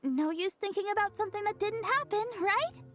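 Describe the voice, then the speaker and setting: A woman voicing a small, childlike, excitable companion creature in a video game, with a squeaky voice. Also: brisk, over music. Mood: eager, chatty. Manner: refers to itself by name.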